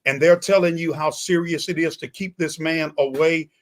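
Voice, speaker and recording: A man's voice: a little high, steady tone, normal speed. A man in a conversational political talk show discussion, speaking emphatically and repeating phrases for weight.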